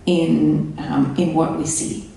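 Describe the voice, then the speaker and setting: A woman's voice: deep voice, flat delivery, slow pace, vocal fry, warm tone. A woman whose first language is Slovenian, speaking on a conference panel in a thoughtful way with hesitant restarts, recorded from far off in an echoey hall.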